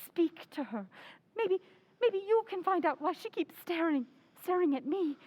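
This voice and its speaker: A woman's voice: very high voice, lively intonation, a little slow, highs cut off. A woman playing a bossy, scornful yet frightened wife, imperious and anxious, in old-time radio horror style. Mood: anxious dread.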